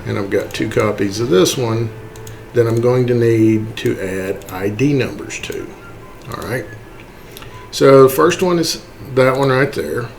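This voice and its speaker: Faint ambient noise sits behind a man's mid-pitched voice. He talks a viewer through software in a casual way, one step at a time. He often stops to check in, like an informal presenter recording his screen. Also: measured pace, gravelly. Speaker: tutorial presenter. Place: indoors, voice-over for a screen recording.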